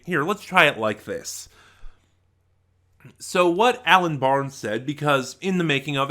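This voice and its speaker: A man giving a casual, unscripted review to viewers, halting and rambling as he often trails off mid-thought. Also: medium pitch, sharp tone. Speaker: YouTube reviewer.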